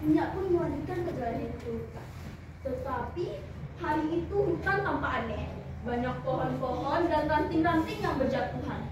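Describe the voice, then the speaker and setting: A teenage girl performs a folk tale on stage with a very high voice, lively and dramatic, turning to the audience often. Her voice bounces around a big echoey hall. She is picked up from far off, with noise behind her. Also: moderate pace. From West Sumatra, Indonesia. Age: teen. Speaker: student storyteller. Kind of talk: expressive storytelling performance.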